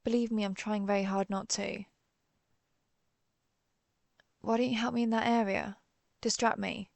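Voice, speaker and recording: A centuries-old vampire huntress, acted by a woman, questions the listener in a roleplay audio drama. Her mid-pitched voice has a strong creak and sounds dry, with no room around it. She is deliberate, cool and sardonic, with menace under the words. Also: level tone, darkish tone, no room sound, lightly acted. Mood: curt, restrained. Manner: deliberate, interrogating, dryly threatening.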